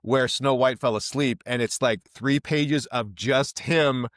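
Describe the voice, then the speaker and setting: A man's voice: mid-range voice, slow, no echo. Man hosting, dry close sound. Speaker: podcast host, interviewer. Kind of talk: casual interview chat.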